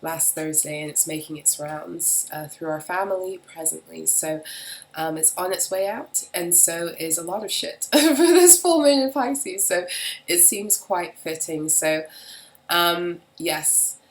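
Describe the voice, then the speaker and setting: A woman reading tarot and astrology in a casual solo video, hoarse and raspy from a head cold, talking in a halting way with frequent ums. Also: animated, poor audio. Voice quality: raspy, deeper than usual. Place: at home in the UK.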